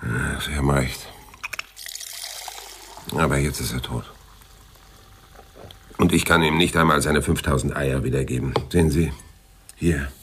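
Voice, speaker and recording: An actor playing a terse, sardonic, loyal private detective in a German radio crime drama, with a dry, laconic delivery. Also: male voice, rumbling low, unhurried, pauses often, fairly bright tone, a little hiss or hum. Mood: subdued. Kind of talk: German radio crime drama, dialogue and first-person narration.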